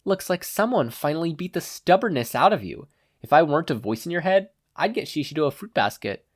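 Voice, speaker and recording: A podfic reader voicing a teenage boy's wry conscience, teasing and gently lecturing him in a knowing way. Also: medium pitch, very animated, brisk, no room sound, studio quality, voice pushed up.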